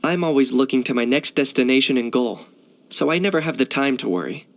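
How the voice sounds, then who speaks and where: A young mercenary hunter for a video game. He is cool and pragmatic, speaking at a middling pitch in a composed, matter-of-fact way with a dry edge. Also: lively intonation, a little fast, a bit bright. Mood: reflective, composed. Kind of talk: video game character acting.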